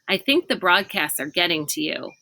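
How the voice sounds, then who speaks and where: A woman voicing a thoughtful young boy leader in a children's storybook, reasoning with a strained calm. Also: fairly low pitch, normal speed, gravelly, no room sound, heavily acted. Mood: surprised. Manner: reasoning, placating. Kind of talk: children's storybook read-aloud.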